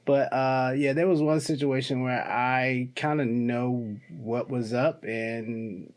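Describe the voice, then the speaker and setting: A man on a podcast panel chatting casually, in a halting, thoughtful way. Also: mid-range voice, fairly even intonation. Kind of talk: casual chat.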